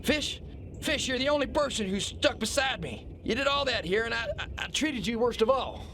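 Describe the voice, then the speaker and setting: A man's voice: extremely high pitch, lively intonation, moderate pace, bright, forceful tone, a little hiss or hum, exaggerated voice. A man playing a failing, self-important kingpin in an absurdist audio drama, guilt-ridden and rambling, stammering and repeating his words. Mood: weary remorse.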